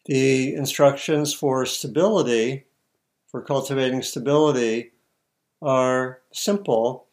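A man teaching meditation, giving guided instructions in short, measured phrases with long pauses. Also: medium pitch, steady tone.

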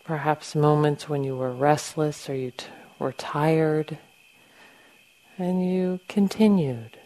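A woman meditation teacher leading a guided meditation in a gentle, unhurried manner with long pauses. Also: low voice, low-quality recording. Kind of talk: guided meditation, softly instructing.

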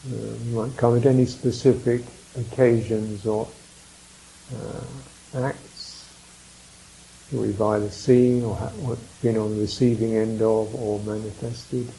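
Male meditation guide: very slow, level delivery, reflective and contemplative, leaves space between phrases. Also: on the low side, flat delivery, warm tone, a little hiss or hum, rough recording. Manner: contemplative, unhurried phrasing with reflective pauses and murmured mms. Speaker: meditation teacher.

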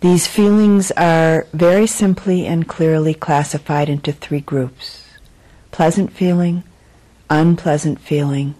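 A woman meditation teacher with a low, mellow voice gives a guided dharma talk. She phrases things deliberately and leaves frequent pauses. Her delivery is very unhurried. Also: low-quality recording.

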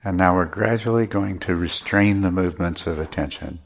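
A man teaching meditation, giving measured guided instructions with pauses between phrases. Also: low-pitched.

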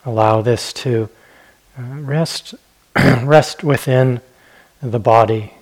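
Male meditation teacher, husky mid-range voice, gentle unhurried instruction with spacious pauses. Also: mid-range voice, low-quality recording.

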